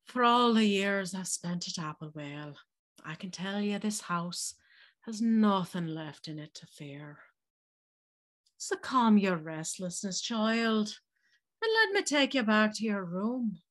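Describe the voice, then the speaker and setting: An older housekeeper recounts an eerie past in an audio drama. Her voice sits at a middle pitch and moves very slowly, with a hushed, reflective manner. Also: animated. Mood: reassuring, uneasy. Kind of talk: dramatic first-person storytelling in audio drama.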